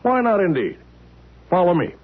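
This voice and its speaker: A calm, mysterious explainer. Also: male voice, sits high, soft background music. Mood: measured. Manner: measured, patient explaining. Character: calm man named Matt, patient, mysterious. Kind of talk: 1970s radio drama acting. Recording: lo-fi broadcast sound, phone-like.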